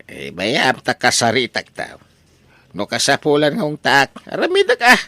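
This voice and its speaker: A man's voice: mid-range voice, huge pitch swings, normal speed, sharp tone, dry recording, heavily acted. A man acting a lovestruck, exasperated elderly father in a comedy audio drama, emphatic and protesting, with an Ilocano accent.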